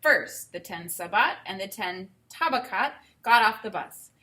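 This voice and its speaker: A woman teacher giving an expressive read-aloud for children, with enthusiastic explanatory asides. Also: mid-range voice, measured pace. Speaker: teacher reading a picture book aloud.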